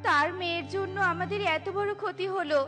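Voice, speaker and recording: A woman voicing a poor, gentle mother bird with a plaintive manner, in a cartoon. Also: extremely high pitch, moderate pace, music in the background. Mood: resigned, hopeful. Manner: plaintive, soothing, resigned. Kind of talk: cartoon voice acting.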